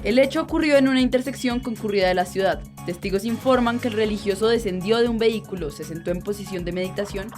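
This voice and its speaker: A woman's voice: medium pitch, close to an everyday voice. Woman acting as a formal female radio news reporter over music. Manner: formal, measured newsreader delivery. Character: grave female news reporter announcing breaking events. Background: music under the voice.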